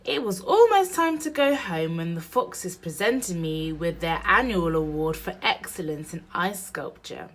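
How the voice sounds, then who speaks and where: A woman with a slightly low voice reads a children's picture book aloud. She takes her time over each phrase, and her pitch rises and falls in an animated, storytelling way. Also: unhurried, hardly any pauses. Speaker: storybook reader.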